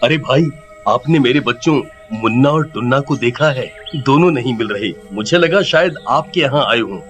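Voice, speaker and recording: Man acting an irritable, bright-voiced father. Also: medium pitch, normal speed, faint music behind, lightly acted. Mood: worried, asking. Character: irritable, strict father of mischievous sons. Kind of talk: animated horror story character voice.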